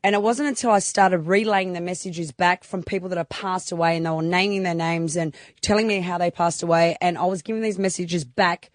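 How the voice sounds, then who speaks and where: A woman raised in Australia, a guest on a radio show, telling animated stories in casual chat. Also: fairly low pitch, fairly quick, few pauses, no echo, clean studio sound. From born in Croatia, raised in Newcastle, Australia. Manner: chatty, animated storyteller.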